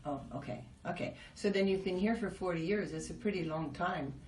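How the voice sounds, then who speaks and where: A woman hosts a casual interview, asking curious, chatty questions in a lowish voice. She sits a little away from the mic, in an echoey room with a faint hiss. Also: fairly quick, darkish tone. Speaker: host. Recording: echoey, from a distance, faint noise.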